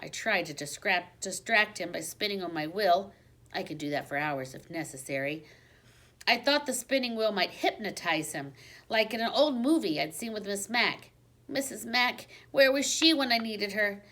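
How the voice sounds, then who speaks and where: A woman reads a children's storybook aloud in a medium-pitched voice. Her narration is expressive, in the way of a teacher reading to a class, and she leans dramatically on the exciting parts. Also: moderate pace. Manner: dramatic emphasis on exciting moments.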